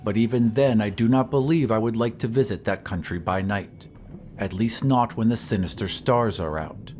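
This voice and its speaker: A man reading a horror story as scripted dramatic narration, in a measured, literary storytelling manner. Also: on the low side, darkish tone, soft background music. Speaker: narrator of an audio drama podcast. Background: ambient sound effects.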